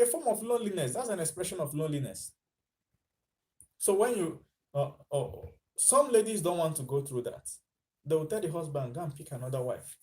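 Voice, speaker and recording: Chatty Nigerian man with a mellow voice. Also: a little high. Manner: chatty, mixes in Pidgin, laughs at his own lines. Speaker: vlogger. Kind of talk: casual commentary vlog.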